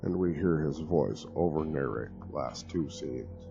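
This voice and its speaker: Very deep gravelly horror narrator. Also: male voice, lively intonation, a little slow. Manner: grave, hushed storytelling. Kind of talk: dramatic horror story reading. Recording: lo-fi with music underneath.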